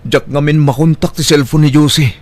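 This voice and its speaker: A man's voice: a little high, fairly even intonation, fairly quick, a little mellow, lightly acted. A man with an Ilocano Filipino accent playing a humble, distressed young father left alone with a baby, in audio drama style, hesitant and pleading. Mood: humble, troubled. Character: distressed young single father, humble, abandoned by his partner.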